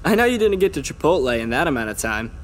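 A man's voice: on the high side, sing-song, fast, big-room sound, a little hiss or hum. An actor playing a mouthy, defiant young male suspect in an audio drama, slangy and defensive. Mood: flippant.

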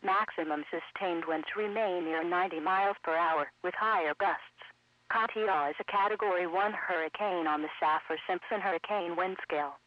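A man's synthetic voice reading scripted weather bulletins in a measured, impersonal way. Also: sits high, no echo. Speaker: weather radio announcer. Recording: dull lo-fi weather radio broadcast.

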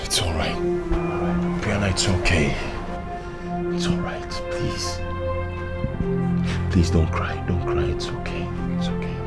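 Man playing a deep-voiced protective elder. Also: moderate pace, over loud music, lightly acted. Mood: soothing. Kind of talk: melodrama acting.

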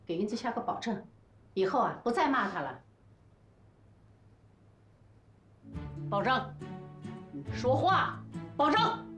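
A woman's voice: on the high side, big pitch swings, moderate pace, a bit bright. An older woman acting in a TV drama as a bossy, fiercely protective family friend, scolding and giving emphatic orders. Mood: commanding. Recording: echoey room, faint background music.

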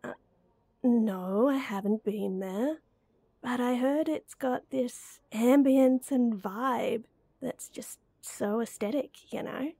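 A woman's voice: on the high side, slow, dark tone, breathy edge, dry recording, heavily acted. A young woman voice actor playing a playful, teasing close friend in a roleplay audio, speaking to the listener in a giggly, coaxing way.

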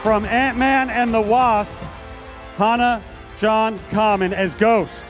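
A man's voice: sits high, steady tone, takes it slowly. A middle-aged man introducing guests as a presenter on a big convention stage, speaking into a handheld microphone. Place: large convention hall stage. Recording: live stage PA with music.

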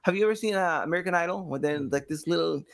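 A man in his thirties chatting casually as a podcast guest, telling stories in an animated way and acting out little quotes. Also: fairly high pitch, very fast, creaky. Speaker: podcast guest, game studio engagement director and sound designer. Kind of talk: casual conversational storytelling. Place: small home room with guitars.

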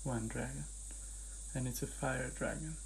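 A man facilitating a hypnotic healing session, giving gentle instructions and asking questions. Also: medium pitch, fairly even intonation, a little slow, creaky, a little hiss or hum, low-quality recording.

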